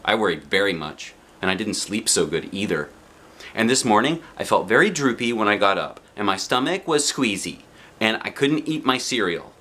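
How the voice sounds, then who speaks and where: A man's voice: fairly low pitch, expressive, normal speed, sharp tone. A man reading a children's storybook as an anxious, outspoken little kindergarten girl, with blunt, emphatic childlike phrasing. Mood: worried.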